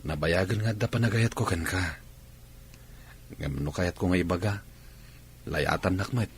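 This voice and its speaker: An actor playing an earnest, devoted young suitor in a radio drama, with an Ilocano accent. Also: male voice, low voice, normal speed, a little hiss or hum, lightly acted. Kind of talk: Filipino radio drama acting.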